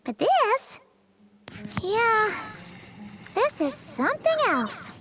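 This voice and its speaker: A woman voicing a small, excitable, cheeky childlike fairy companion in an anime game, with a squeaky voice. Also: drawn-out words, background noise, heavily acted. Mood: uneasy. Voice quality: squeaky, shrill. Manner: speaks of self in third person, perky. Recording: music behind the voice.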